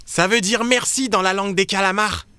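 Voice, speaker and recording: A woman's voice: mid-range voice, brisk, natural voice. A woman voicing a loyal, playful young talking dog who likes joking in a children's cartoon. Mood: supportive.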